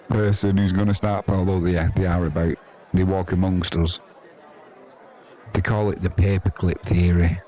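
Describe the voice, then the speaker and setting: Male English sports commentator, low-pitched, chatty and blunt with dry humour, faint crowd behind. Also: warm tone. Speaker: live sports commentator and host. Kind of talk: casual ball-by-ball cricket commentary.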